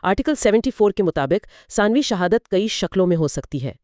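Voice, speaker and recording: A woman's voice: mid-range voice, lively intonation, brisk, a little mellow, dry recording, clean studio sound. A woman, explaining legal points step by step with examples, as co-host of an educational dialogue.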